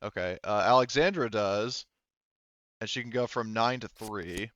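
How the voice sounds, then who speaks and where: Clear-voiced, low-pitched man running a game. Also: measured pace, fairly bright tone, no echo, dull audio. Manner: informal, swears casually. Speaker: game master. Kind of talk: casual tabletop game narration and rules talk.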